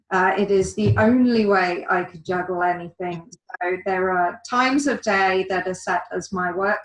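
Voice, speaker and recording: Woman guest, mid-pitched, measured answers, roomy echoey sound. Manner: measured, orderly, with fillers. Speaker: guest, virtual assistant. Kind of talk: conversational interview answers. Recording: echoey room.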